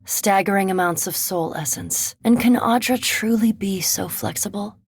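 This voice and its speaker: Video game vampire academic: centuries old, composed, curious, erudite; slightly husky female voice, recorded close and dry. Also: medium pitch, dry recording. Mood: quiet wonder. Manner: erudite, measured, formal. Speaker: animancy researcher and ship companion in a fantasy video game. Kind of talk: video game character dialogue.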